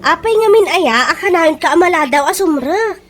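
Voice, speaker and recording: Woman acting a very high-pitched, nagging female workmate. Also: lively intonation, brisk, slight background noise. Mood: chiding concern. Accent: Ilocano Filipino. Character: chatty, nagging female workmate giving advice. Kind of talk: Ilocano comedy radio drama acting. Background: soft background music.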